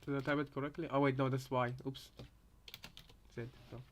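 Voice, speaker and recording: A man's voice: mid-range voice, level tone, a little fast, slight background noise. A man chatting casually on a live reaction stream, rambling hesitantly and often repeating his phrases. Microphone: headset.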